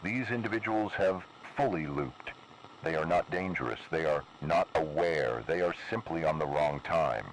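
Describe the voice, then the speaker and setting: Guy doing super deep creepy emergency broadcast voice, grave n deliberate, noisy radio sound. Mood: ominous, grave. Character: ominous male emergency broadcast announcer warning of anomalies. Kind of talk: analog horror scripted public warning broadcast.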